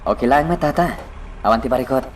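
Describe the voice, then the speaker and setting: A man's voice: medium pitch, animated, fast, slight background noise, natural voice. A man acting an earnest young village suitor in a radio drama, with an Ilocano Filipino accent. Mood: gentle. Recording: very loud background music.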